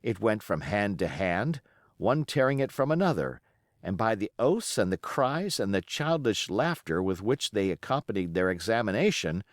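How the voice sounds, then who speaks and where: A man's voice: on the low side, very animated, normal speed, no room sound, studio quality. A man reading an adventure novel aloud as scripted audiobook narration. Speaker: audiobook reader.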